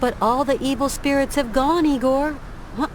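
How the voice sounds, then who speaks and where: An actress playing a plucky, level-headed adventure heroine in a video game, with a natural, direct delivery. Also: female voice, high-pitched, normal speed, a little hiss or hum, natural voice. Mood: coaxing.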